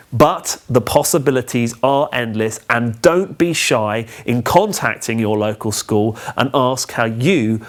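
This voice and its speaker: Man, medium pitch, for scripted instructional narration; measured, clear phrasing, teacherly delivery. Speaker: training film presenter.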